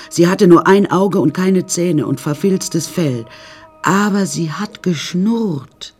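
A woman's voice: deep voice, slightly rough, exaggerated voice. A woman playing an eccentric painter who tells her troubled life in a wry, rambling, matter-of-fact way, as radio drama narration.

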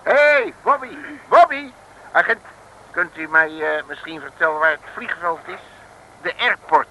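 A cocky, bumbling detective from an old Dutch radio comedy, with a high, very bright voice that swings up and down. He blusters in slangy speech on a noisy vintage recording. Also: animated, normal speed. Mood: flustered. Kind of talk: 1960s Dutch comic radio serial acting.

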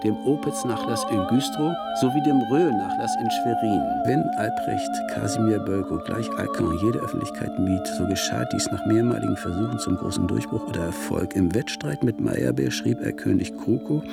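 Formal scholarly researcher reading a letter in a radio play, very swoopy creaky voice, music behind. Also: male voice, lowish voice, wildly swooping pitch, moderate pace, few pauses, full character voice. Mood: formal, courteous. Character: earnest, courteous male music researcher reading out his letter.

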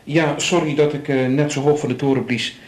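A man acts out an officious nursing-home porter in a Dutch radio drama. His voice is fairly high and rings in a roomy hall. He talks in a flippant, mock-formal, wordy way. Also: fairly even intonation, fairly quick, a little mellow, natural voice. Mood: chatty, defensive. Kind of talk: naturalistic 1980s radio drama acting. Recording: roomy, echoing studio scene.

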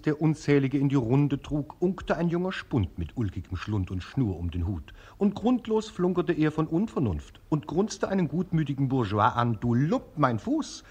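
An actor playing a droll narrator who theatrically recites an absurd anecdote for an old experimental radio play. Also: male voice, a little high, darkish tone, breathy edge. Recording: dull, muffled 1950s archive radio recording.

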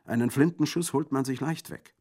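Man doing a bold young woman, loud and urgent, raised pitch, audiobook. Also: expressive, moderate pace, lightly acted. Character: passionate young Corsican woman, fierce and bold.

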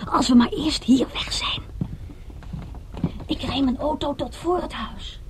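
An actress playing a nervous, urgent woman ally in a radio detective play, speaking in a hushed way. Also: sits high, unhurried, noisy background. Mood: urgent, anxious. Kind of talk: radio drama acting.

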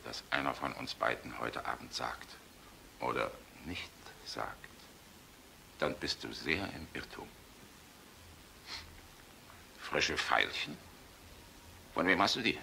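A middle-aged actor playing a hard-drinking, cynical, charming air force general in a German radio play, gravelly and swaggering. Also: male voice, a bass voice, bright, forceful tone, a little hiss or hum, heavily acted. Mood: tipsy, amorous. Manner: sardonic, flirtatious, swaggering. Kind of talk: German radio drama acting.

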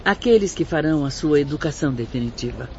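A woman's voice: low-pitched, animated, fast talker, slight background noise. A woman playing a kindly, dignified spirit mother of about fifty in a radio drama, speaking formally and with composure. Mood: composed, kindly. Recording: dull sound, faint music behind.

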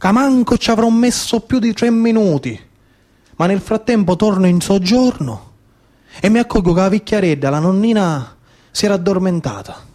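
A man's voice: high voice, moderate pace, darkish tone. A man in his thirties with a Sicilian accent, performing a colloquial, emphatic theatrical monologue in dialect. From Sicily, Italy. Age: 30s. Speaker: stage storyteller and actor.

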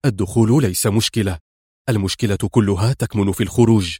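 A man's voice: on the low side, fast, darkish tone, slightly rough, no echo, clean studio sound, voice pushed down. A man voicing a resolute male secret agent in a spoken story, firm and clipped.

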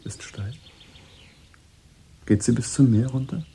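An actor playing a calm confidant who puts quiet, probing questions, in a German radio drama. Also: male voice, mid-range voice, slow pace, breathy edge, heavily acted.

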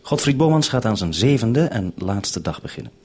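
A man's voice: on the low side, very animated, normal speed, a little mellow, slightly rough. A man with a Netherlandic Dutch accent presenting and interviewing over a live radio link in a vintage 1970s broadcast.

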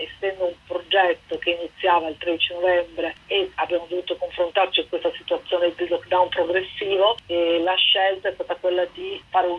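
Woman guest on radio explaining her project, kinda husky, wordy w filler words, muffled phone sound. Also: fairly low pitch. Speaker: guest, arts project curator.

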